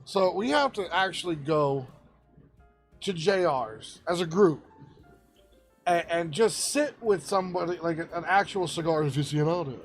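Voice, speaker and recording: A man co-hosting a podcast, animated and teasing in jokey casual chat. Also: on the high side, unhurried, soft background music. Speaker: podcast co-host.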